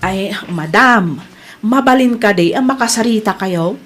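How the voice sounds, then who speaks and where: A woman's voice: medium pitch, lively intonation, a little slow, soft background music. A Filipino woman playing an indignant, earnest employee who complains on behalf of a co-worker in an audio drama. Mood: earnest, deferential. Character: female employee, indignant tattling sympathetic co-worker. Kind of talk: acted audio comedy-drama dialogue.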